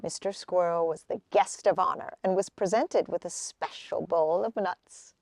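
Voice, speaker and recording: A woman reading a children's picture book aloud as a storyteller, with expressive, deliberate phrasing. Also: on the low side, vocal fry, no echo, studio quality.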